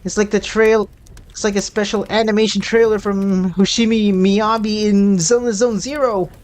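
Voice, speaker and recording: A game streamer reacting casually, thinking aloud in a halting way. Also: mid-range voice, steady tone.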